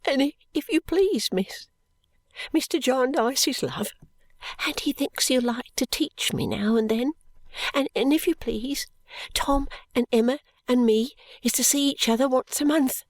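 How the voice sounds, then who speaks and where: A woman voicing a timid little girl maid who speaks softly, politely and breathlessly, close to tears. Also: high voice, unhurried, no echo, pitched above the performer's own voice. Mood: tearful, thankful. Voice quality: soft, whispery.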